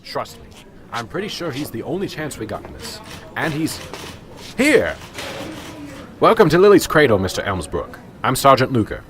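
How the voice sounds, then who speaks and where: A man's voice: mid-range voice, big pitch swings, normal speed, pauses often, fairly bright tone, slight background noise. A man acting in a radio drama as a flustered small-town police sergeant, apologetic, hesitant and eager to please. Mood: eager, welcoming.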